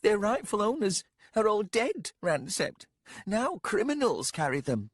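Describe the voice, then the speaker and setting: A man's voice: sits high, sing-song, unhurried, breathy edge, no room sound, studio quality, voice pushed up, exaggerated voice. A man voicing a young noblewoman who is tearful, insistent and defiant. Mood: upset. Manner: pleading, sharp and insistent.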